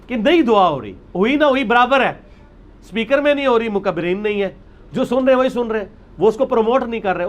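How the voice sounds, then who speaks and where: A man giving a religious talk, arguing his point with rhetorical questions and emphasis. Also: high-pitched. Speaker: religious speaker. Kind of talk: lecture on religious practice.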